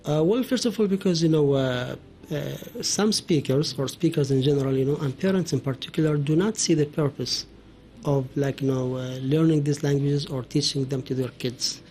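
A man from Oman speaking as a linguist guest, explaining in a halting way with many hesitations. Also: on the high side, a little mellow, a little hiss or hum. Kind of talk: explanatory podcast talk.

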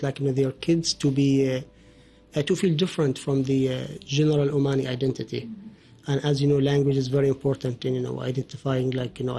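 Male linguist guest from Oman, gravelly and dark, halting delivery. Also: mid-range voice. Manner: halting, many hesitations. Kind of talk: explanatory podcast talk.

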